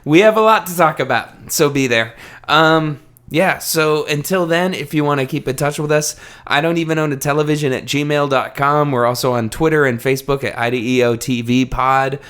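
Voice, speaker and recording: A man's voice: a little high, normal speed, few pauses, a bit bright, clear, resonant tone. A man co-hosting a podcast, making informal, easygoing announcements in casual chat. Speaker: podcast co-host.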